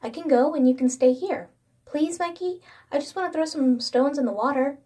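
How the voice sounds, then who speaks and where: A woman's voice: high voice, normal speed, warm tone, pitched above the performer's own voice, natural voice. A woman voicing an eager, earnest little brother, a young boy who pleads and promises in a storytelling narration. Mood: pleading. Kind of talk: horror story narration with acted dialogue.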